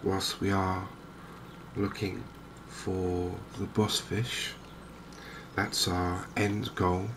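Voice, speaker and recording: Slow, low-pitched, soft-spoken man. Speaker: gaming video host. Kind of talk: softly spoken ASMR game commentary. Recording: clear steady background noise.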